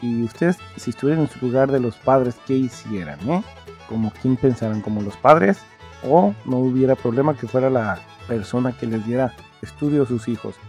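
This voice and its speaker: A male podcast host with a medium-pitched voice chats to listeners in a rambling, informal way. Soft music plays behind him. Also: animated, normal speed. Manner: rambling, conversational.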